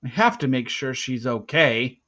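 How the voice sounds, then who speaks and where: A man's voice: medium pitch, very animated, unhurried, a bit bright, pitched above the performer's own voice. A man voicing an earnest, sheltered thirteen-year-old boy in an audiobook, plain-spoken, literal and a bit defensive. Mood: insistent. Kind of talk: acted dialogue in an audiobook read-aloud. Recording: slightly muffled, dull recording.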